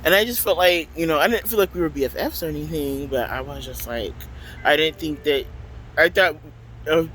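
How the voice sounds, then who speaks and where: Man vlogger, loud and a touch high-pitched, rambling casual chat on a phone outdoors, faint traffic under it. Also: fairly quick, low-quality recording. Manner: rambling, chatty, full of fillers. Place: outdoors at a transit stop by passing trains. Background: faint city traffic noise.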